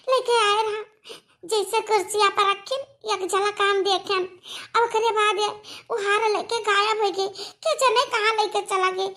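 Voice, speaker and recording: A woman's voice: extremely high pitch, fairly bright tone. A woman voicing an aggrieved young village wife in a cartoon, pleading and arguing with an Awadhi accent. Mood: complaining. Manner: pleading, argumentative, complaining. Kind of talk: melodramatic cartoon dialogue.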